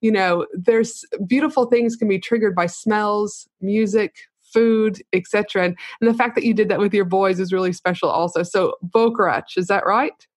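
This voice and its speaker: A woman's voice: medium pitch, normal speed, hardly any pauses, dry recording, studio quality. A woman hosting a podcast interview, talking in a chatty, upbeat way with long run-on sentences. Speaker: podcast host. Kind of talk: casual interview chat.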